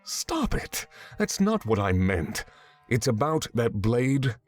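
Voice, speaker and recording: An actor playing a stern, exacting swordsman mentor in a fantasy visual novel game, giving measured, commanding instruction. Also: mid-range voice, big pitch swings. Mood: stern, impatient. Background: background music.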